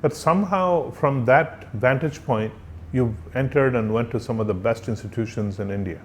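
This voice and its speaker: A man interviewing a guest, asking questions politely and deliberately with some hesitant phrasing. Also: mid-range voice, a little hiss or hum. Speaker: interviewer, professor.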